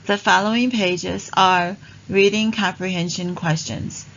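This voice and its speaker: A woman narrating a read-along lesson for children in a deliberate, instructional way. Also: medium pitch, steady tone, a bit bright, dull audio, slight background noise.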